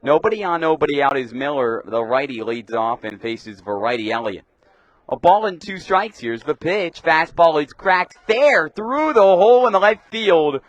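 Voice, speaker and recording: A man's voice: on the high side, expressive, moderate pace, no echo. A young man doing live radio baseball play-by-play commentary, describing the action in short clipped phrases. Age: young adult.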